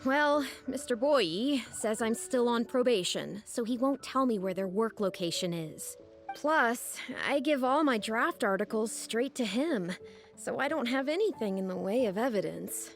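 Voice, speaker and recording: A woman voicing a flustered, naive young female rookie journalist in a video game, excitable and expressive. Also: fairly high pitch, measured pace. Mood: relieved, earnest. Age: young adult. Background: music.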